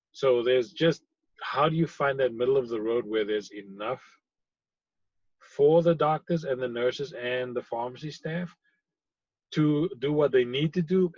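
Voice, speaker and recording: A man from South Africa, a pharmacy technician, answering interview questions thoughtfully, with frequent pauses and fillers. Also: medium pitch, no room sound, muffled recording. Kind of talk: conversational interview.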